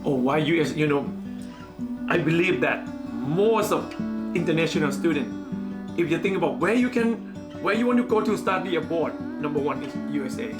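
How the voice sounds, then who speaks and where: A speaker from Thailand with as a gives interview answers in hesitant English, pausing to find words and leaning on "you know". The voice sits at an ordinary middle pitch. A music bed plays quietly underneath. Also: lively intonation. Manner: halting, searching for words, frequent "you know".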